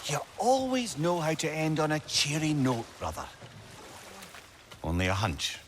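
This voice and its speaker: A chatty, wry middle-aged companion for a video game. The voice is on the high side and swings widely in pitch, with a familiar brotherly manner. There is faint ambient noise behind. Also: male voice, wildly swooping pitch, a little slow. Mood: wry, curious. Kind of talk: cinematic video game acting.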